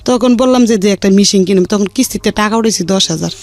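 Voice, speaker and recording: A woman's voice: medium pitch, quick pace, darkish tone, soft background music. A middle-aged woman with a colloquial Bangladeshi accent giving a rambling, plain-spoken personal account as an interview guest. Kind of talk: personal life-story account.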